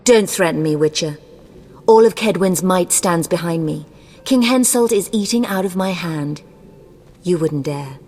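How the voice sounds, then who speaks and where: Cold imperious sorceress, lowish female voice, haughty formal delivery, fantasy game dialogue, long reverb. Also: a little slow, darkish tone. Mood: haughty, stern. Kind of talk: scripted video game dialogue.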